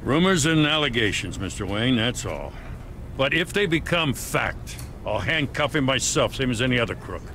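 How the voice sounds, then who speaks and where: Plain-spoken middle-aged American cop. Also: male voice, mid-range voice, sing-song, moderate pace, sharp tone, slight background noise. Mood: matter-of-fact, grave. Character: plain-spoken, dutiful police officer serving a warrant. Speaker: video game voice actor. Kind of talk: dramatic game dialogue.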